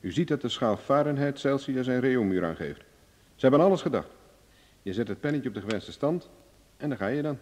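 An actor playing a cocky, cool-headed private investigator in a Dutch radio drama, glib, ironic and self-assured. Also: male voice, mid-range voice, animated, a little fast, a little mellow, close to an everyday voice. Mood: confident. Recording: reverberant old radio play recording.

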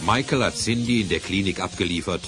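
A man with a deep voice narrates a German radio play. His delivery is scripted storytelling, with music clearly playing behind him. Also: fairly quick.

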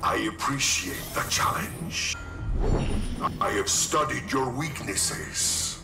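An actor voicing a hulking, menacing, arrogant masked villain in a video game, making grandiose threats. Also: male voice, medium pitch, gravelly. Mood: proud. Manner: slow, menacing, grandiose declarations. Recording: loud music behind, echoing sound.

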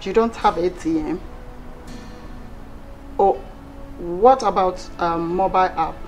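A woman's voice: lowish voice, slow pace, faint music behind, poor audio. An actress playing an earnest, apologetic young woman in her late twenties in a film drama, somewhat hesitant, with a Nigerian Igbo accent. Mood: teasing. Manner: hesitant, repeating words. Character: earnest, apologetic young city-working daughter.

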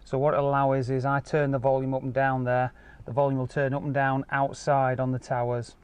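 A man's voice: medium pitch, completely flat delivery, fairly quick, darkish tone, no room sound, dull audio. A man giving a casual, explanatory product walkthrough as a car audio installer. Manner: informal, explanatory. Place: outdoor car park and inside car. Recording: clear ambient noise behind handheld camera.

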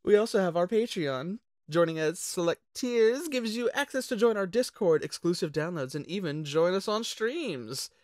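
A man's voice: high voice, lively intonation, moderate pace, few pauses, no echo, clean studio sound. Upbeat man hosting a channel outro. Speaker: channel host.

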